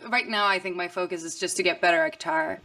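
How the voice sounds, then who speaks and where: A woman's voice: fairly low pitch, bright, forceful tone. A woman in her twenties from Stockholm, Sweden, chatting upbeat and easily as a musician guest in an interview. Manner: upbeat, chatty, quick laughter. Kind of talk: casual interview chat.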